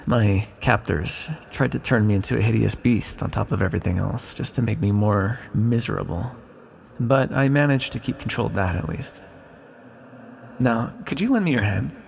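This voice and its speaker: A man acts out an ancient male villain for an ASMR roleplay, low and gravelly. He is a weary, exiled magical being who muses with slow, theatrical wryness. His voice hangs in a long, cavernous reverb. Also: a little hiss or hum. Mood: teasing, coaxing. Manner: slow, musing, wry, theatrical.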